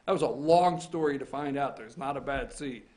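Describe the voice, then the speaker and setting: A man's voice: mid-range voice, moderate pace, darkish tone. A man hosting a casual morning talk show, teasing his co-host and steering the chat. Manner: teasing, banters and interrupts.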